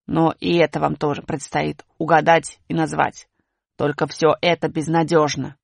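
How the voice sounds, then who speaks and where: A woman voicing a mocking, mustached male trickster who gloats and jeers, in a low gravelly put-on voice. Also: flat delivery, normal speed, no echo. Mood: gloating. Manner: jeering, sly, crowing.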